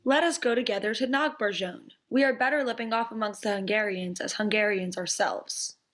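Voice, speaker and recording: A woman's voice: mid-range voice, a little fast, fairly bright tone, lightly acted. A woman playing a worried but determined young mother in a wartime stage drama. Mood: firm, planning. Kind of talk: theatrical storytelling monologue and dialogue. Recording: roomy home recording with music underneath.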